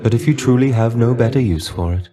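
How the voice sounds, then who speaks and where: An actor playing a melancholy, guarded shade of a fallen Greek warrior in a video game, speaking with dry resignation and formal, old-fashioned phrasing. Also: male voice, medium pitch, quick pace, warm tone, lightly acted. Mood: melancholy, grateful. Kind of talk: dramatic video game character voice acting.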